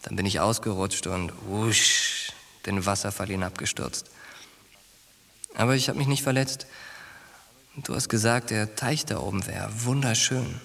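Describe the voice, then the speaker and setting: Al, brash young soldier. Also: male voice, lowish voice, measured pace, creaky, long pauses, a bit bright, full character voice. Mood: reminiscing. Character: Al, brash, cocky young soldier, loyal boyhood friend. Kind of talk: German radio drama acting.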